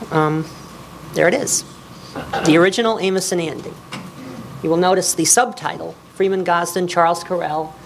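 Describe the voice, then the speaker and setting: A woman's voice: fairly low pitch, vocal fry, rough recording. A woman speaking as an author, giving a public lecture on history. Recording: live talk with faint noise.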